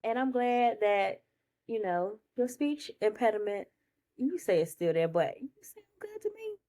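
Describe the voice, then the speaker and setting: A woman's voice: a little high, sing-song, unhurried, dark tone. A woman hosting a casual podcast interview, chatting in an informal, slangy and affirming way. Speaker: podcast host.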